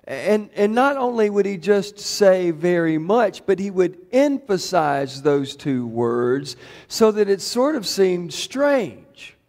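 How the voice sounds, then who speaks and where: A middle-aged man, a pastor, preaching a chatty sermon in a church, his voice a bit hoarse from shouting, full of fillers and restarts. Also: high-pitched, big pitch swings, slow pace. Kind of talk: conversational sermon. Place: church sanctuary. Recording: long reverb of a church hall.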